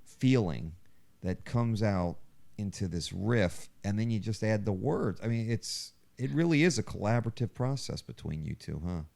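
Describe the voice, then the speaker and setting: Male co-host, low and creaky, relaxed conversational questioning. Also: moderate pace. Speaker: podcast co-host asking questions. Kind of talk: casual chat. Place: living room with soundproofing.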